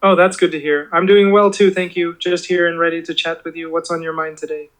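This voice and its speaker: A man's voice: a little high, level tone, a little fast, fairly bright tone, rough recording. A synthetic male voice clone acting as a chirpy, eager-to-please AI assistant, played through a laptop speaker in a meeting room. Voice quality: cloned voice sounding like the presenter. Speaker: AI voice agent. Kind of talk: conversational AI phone agent.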